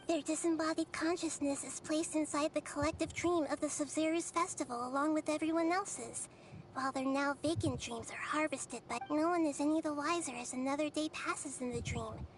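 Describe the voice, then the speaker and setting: A woman playing a gentle, wise, childlike girl deity in an anime video game, small-voiced, measured and articulate. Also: high voice, lightly acted, low-quality recording. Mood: calm, explaining. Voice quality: small, girlish. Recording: game stream with background noise.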